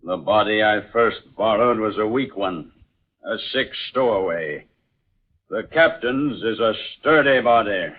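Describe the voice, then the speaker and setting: Radio villain: ancient spirit emperor, slow, commanding and portentous, on a narrow, dull old recording. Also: male voice, fairly low pitch, expressive. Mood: cold, commanding. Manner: imperious, slow, portentous declamation. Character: menacing ancient spirit emperor in a borrowed human body. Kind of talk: old-time radio drama acting. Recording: muffled, narrow-band vintage radio recording.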